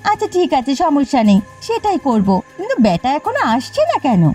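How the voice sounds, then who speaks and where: A woman plays a spiteful village wife in a storybook cartoon, goading and vicious. Her voice is high and mellow, and it swoops widely. Faint music plays underneath. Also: huge pitch swings, brisk. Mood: scornful. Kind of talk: cartoon voice acting.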